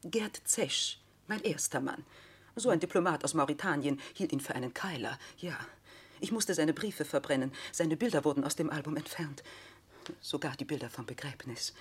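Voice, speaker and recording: An actress in a radio play playing a desperate, self-dramatising remarried widow, husky, solemn and insistent. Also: female voice, low voice, moderate pace. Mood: aggrieved. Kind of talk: German radio play acting.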